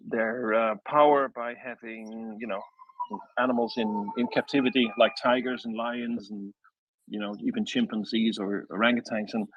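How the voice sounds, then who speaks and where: Middle-aged guy talking slow and loose on a dull, dry remote line. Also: medium pitch, slightly rough, no room sound. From Netherlands. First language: Dutch. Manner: unhurried and loosely structured, with hesitations. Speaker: guest, wildlife rescue founder. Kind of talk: online audio chat.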